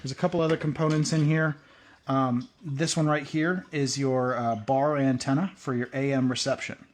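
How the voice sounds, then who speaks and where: A man's voice: mid-range voice, steady tone, moderate pace. Rambling man explaining a radio project. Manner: loose and rambling, many "uh" and "um". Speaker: prepping channel host. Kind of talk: casual hands-on tutorial.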